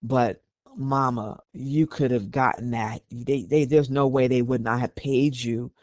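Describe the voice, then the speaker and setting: A man's voice: mid-range voice, fairly even intonation, a little slow, a little mellow, no echo. A man hosting a podcast, reading documents aloud and giving opinionated, conversational commentary. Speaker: podcast host.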